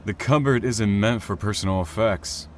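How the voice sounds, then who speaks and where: A man's voice: lowish voice, normal speed, slight background noise, lightly acted. A man playing a sober, rule-minded train engineer in an audio drama, reserved and businesslike. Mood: guarded. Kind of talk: naturalistic audio drama acting.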